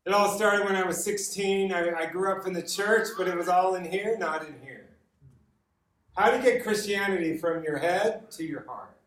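A man's voice: high-pitched, normal speed, a bit bright. An American man giving an informal sermon with personal stories, conversational and repeating words for emphasis. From Los Angeles, United States. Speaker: guest preacher. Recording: roomy echo, distant from the mic.

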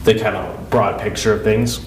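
Low-voiced congested man in echoey room. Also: steady tone, normal speed. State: congested and sniffly from a head cold. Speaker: podcast co-host. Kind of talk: casual podcast conversation. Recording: echoey room with clear background noise.

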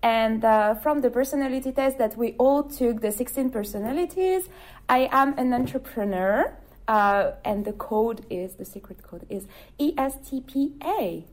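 A woman's voice: on the high side, animated, normal speed, darkish tone. A woman in her twenties from France, co-hosting a casual podcast, bubbly and keeping the talk moving. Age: 20s. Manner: bubbly, takes charge of the order. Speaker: podcast co-host.

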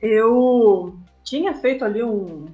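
A woman's voice: on the high side, takes it slowly, phone-quality sound. Brazilian woman chatting over faint music. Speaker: interview guest, comic artist and game writer. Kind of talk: casual interview conversation. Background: background music.

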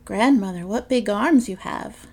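A woman's voice: mid-range voice, fairly even intonation, brisk, dark tone, natural voice. A woman voicing an innocent, chatty little girl from a fairy tale. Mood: wondering. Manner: naive, wondering.

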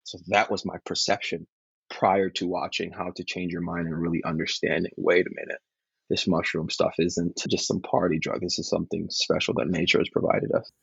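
A man from Liberia, a podcast guest, talking in an earnest, measured way about grief and healing. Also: low-pitched, flat delivery, creaky, slightly rough, no echo. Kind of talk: reflective interview talk. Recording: dull lo-fi call audio.

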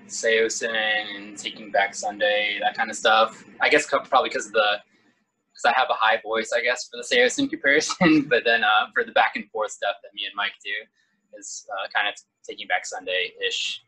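A man from Virginia, singer and bassist of a band, answering interview questions in an easygoing way. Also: sharp tone, slightly rough, low-quality recording. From Virginia, USA. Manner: easygoing, laughs often.

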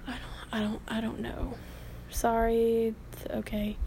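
Teen girl vlogger, slow and chatty, kinda high voice with lots of fry, drops to whispers sometimes. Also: monotone, mellow, a little hiss or hum. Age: late teens. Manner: rambling, chatty, with whispered asides. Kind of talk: casual commentary.

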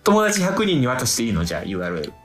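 A man's voice: medium pitch, wildly swooping pitch, very fast, reverberant, over loud music. A man speaking as a radio guest, explaining things in casual chat. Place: radio studio.

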